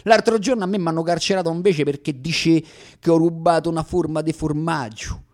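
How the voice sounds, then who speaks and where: An actor playing a boastful Roman street bully in a comic theatrical monologue, with a thick Roman accent and a swaggering, declamatory slangy delivery. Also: male voice, on the high side, steady tone, a little fast, natural voice. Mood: aggrieved. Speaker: stage actor performing a one-man radio play.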